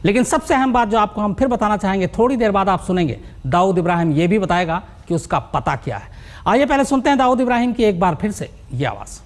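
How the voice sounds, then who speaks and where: An emphatic television news anchor in mid-broadcast, with a medium pitch. The delivery is a live presentation that circles back over key phrases to build things up. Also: animated, quick pace.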